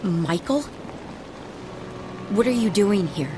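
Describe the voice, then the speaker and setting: An actress playing a sharp-tongued, wounded ex-wife in a radio drama, guarded and full of biting, sarcastic retorts. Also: female voice, mid-range voice, animated, takes it slowly, background noise. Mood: wary, sarcastic.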